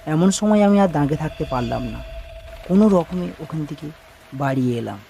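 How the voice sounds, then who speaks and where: A man's voice: fairly high pitch, animated, fairly quick, vocal fry, dark tone, a little hiss or hum. A man giving scripted first-person narration of a cartoon ghost story. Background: background music.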